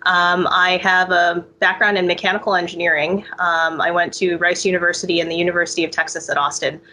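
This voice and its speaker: An American woman engineer answers interview questions in a relaxed way, often pausing with ums. Her voice is bright and forward. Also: on the low side. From United States.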